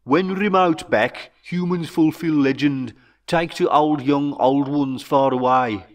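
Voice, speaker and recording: A man voicing an old, wise alien chief in a storybook, with a Brummy accent and slow, broken, clipped English. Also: on the high side, recorded from a distance, pitched above the performer's own voice. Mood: reassuring. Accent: Brummy (Birmingham). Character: old wise alien chief, small orange hopping creature. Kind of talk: storybook character voice.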